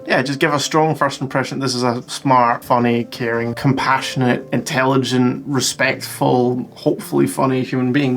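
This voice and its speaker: Wry British man with a creaky voice. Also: mid-range voice. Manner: wry, joking, wordy, self-interrupting. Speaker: vlog co-host. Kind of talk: casual storytelling chat.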